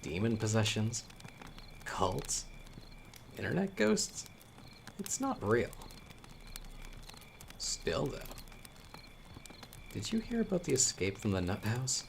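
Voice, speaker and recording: A man playing a chatty young storyteller with hidden menace in a horror audio roleplay, talking casually with wry asides and hesitant pauses. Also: mid-range voice, huge pitch swings, creaky, slight background noise, exaggerated voice. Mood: casual, teasing.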